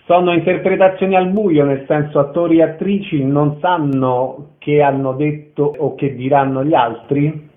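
A man's voice: fairly high pitch, steady tone, moderate pace, phone-quality sound. A man hosting a radio interview, asking brief, polite questions. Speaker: radio host.

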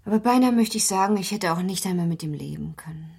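A girlish yet guarded young wife in a radio drama. Her voice is low and very husky, with a breathy edge on her words. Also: expressive, fast talker, darkish tone, exaggerated voice. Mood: cool, dismissive. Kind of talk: German radio play acting.

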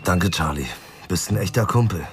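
An actor playing a weary, dogged investigator who talks in a dry, blunt, laconic way in a German radio drama. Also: male voice, deep voice, lively intonation, moderate pace. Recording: music behind the voice. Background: music.

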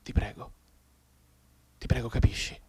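An actor playing a desperate bedridden mute soldier's inner thoughts in a theatrical radio monologue, halting and repetitive, with volatile emotion and breathy delivery. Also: male voice, a little mellow, close to an everyday voice. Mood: desperate pleading. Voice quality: husky, breathy, often dropping to a whisper.

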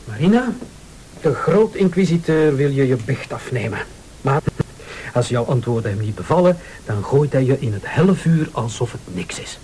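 An actor playing a cornered, scheming husband in a radio play, mocking, irritable and blustering. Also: male voice, mid-range voice, animated, moderate pace, noisy background, lightly acted. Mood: irritated, mocking.

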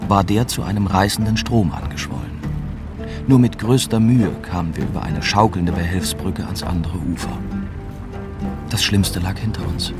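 A man's voice: low-pitched, moderate pace, loud music behind the voice. An actor playing a young German journalist, weary and shaken, who narrates his war memories reflectively in a radio drama. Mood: grave, shaken. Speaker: narrator and lead character.

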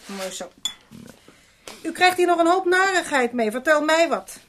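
Schelle, zeurende huishoudster. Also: female voice, high voice, big pitch swings, unhurried, a bit bright, exaggerated voice. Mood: suspicious nagging. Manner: scolding, insistent, grumbling. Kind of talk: Dutch radio play acting. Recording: old radio recording with faint noise.

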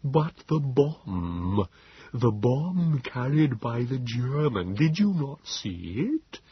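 A man voicing a small, frail Morlock creature in an audiobook, halting and pleading in a thin, wheezing, breathless voice. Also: mid-range voice, big pitch swings, husky, lo-fi sound, pitched above the performer's own voice, full character voice. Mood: weary, urgent. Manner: halting, gasping, slow.

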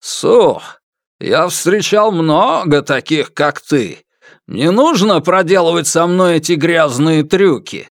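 A man voicing a furious, desperate father in an audiobook, shouting hysterical accusations. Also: on the high side, expressive, takes it slowly, no room sound, studio quality, voice pushed up. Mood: threatening. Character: enraged, desperate father hunting his missing daughter. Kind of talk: audiobook character acting.

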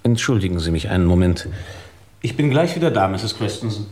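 Radio drama: actor as probing male inspector, deep voice, courteous, methodical, quietly in charge. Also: animated, fairly quick, background noise, natural voice. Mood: polite, probing. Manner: polite, methodical questioning. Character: calm, probing male police inspector, polite but authoritative.

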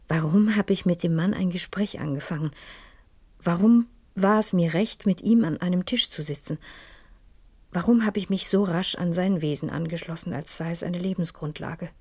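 An actress playing a shaken, lonely, genteel older widow in a radio crime drama, husky and breathy, hesitant and grave. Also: female voice, lowish voice, normal speed, mellow, no echo. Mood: mournful. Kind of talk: German radio crime drama acting and narration.

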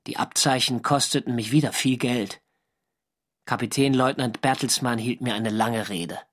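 An actor playing a navy sailor who narrates his wartime diary in an earnest, wry and measured literary way for a radio drama. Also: mid-range voice, natural voice. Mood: wry, reflective. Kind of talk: scripted first-person radio drama narration.

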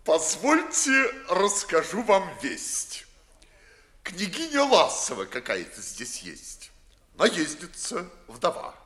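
A man playing a witty, biting young gentleman, declaiming mockingly in verse in a 1950s radio play. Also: sits high, huge pitch swings, slow, full character voice.

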